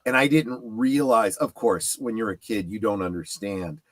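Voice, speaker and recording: A man's voice: lowish voice, moderate pace, dry recording. Middle-aged man chatting, dry close sound. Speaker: show panelist. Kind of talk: casual chat about collectibles.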